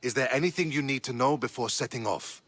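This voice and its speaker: A man playing a wry husky soldier. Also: medium pitch, fast talker, a bit bright, no echo, lightly acted.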